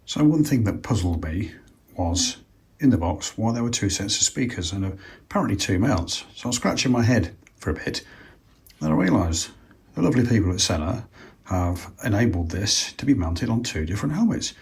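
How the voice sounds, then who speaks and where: A British man with a low-pitched voice presents a practical how-to. He explains each step casually as he works through it. His delivery is informal and unhurried, as though he is thinking aloud. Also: big pitch swings, creaky, darkish tone. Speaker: presenter of a product installation tutorial. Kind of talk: casual step-by-step hands-on demonstration.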